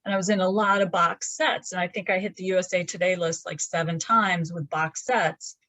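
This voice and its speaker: A woman's voice: fairly low pitch, steady tone, moderate pace, bright, forceful tone, no room sound. Chatty woman author on a video call. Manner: chatty, rambling with frequent fillers and restarts. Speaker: romance author, guest. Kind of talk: casual video-call interview. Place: laundry room at home. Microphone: webcam on a video call.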